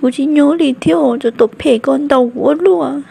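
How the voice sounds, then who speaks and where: A woman voicing a female character in an animated family drama. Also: high voice, moderate pace, vocal fry. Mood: emphatic. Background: music.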